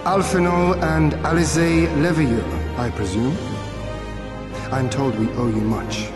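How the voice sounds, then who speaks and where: An actor playing an earnest, commanding exiled lord in a fantasy game, speaking formally and statelily. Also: male voice, a little high, steady tone, a little slow, natural voice. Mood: gracious, assured. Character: noble exiled lord leading his homeland's liberation, earnest and commanding. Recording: echoey reverberant hall, music behind.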